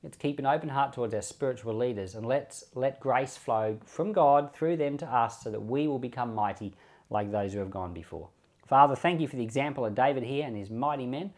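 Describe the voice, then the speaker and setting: A man's voice: medium pitch, animated, fairly quick. Australian man giving informal Bible commentary. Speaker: pastor and Bible podcast host.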